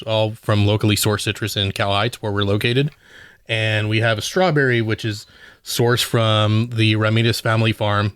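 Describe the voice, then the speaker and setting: A man with a fairly low voice talks in a loose, rambling way with many fillers. He is a bartender who is a guest on a casual podcast. The sound is dry. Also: a bit bright, clear, resonant tone, no echo. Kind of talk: casual chat.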